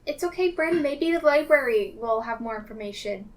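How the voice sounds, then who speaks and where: A woman player improvising in character in a tabletop game, polite and measured. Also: on the high side, expressive, far from the mic.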